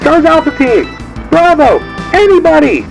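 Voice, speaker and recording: A man playing a frantic helicopter pilot in an audio drama, shouting urgent short radio calls. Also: extremely high pitch, very animated, a little slow, vocal fry, over music, pitched above the performer's own voice, full character voice, low-quality recording. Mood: panicked, desperate.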